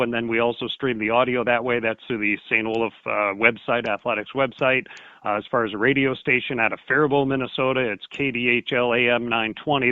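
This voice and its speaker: Folksy, halting man chatting on sports radio. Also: medium pitch, no room sound, phone-quality sound. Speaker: radio sports broadcaster, guest. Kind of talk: casual interview chat.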